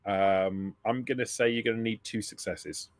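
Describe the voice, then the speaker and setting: A man narrating a tabletop roleplaying game as game master, halting and repeating phrases as he explains. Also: fairly low pitch, fairly even intonation.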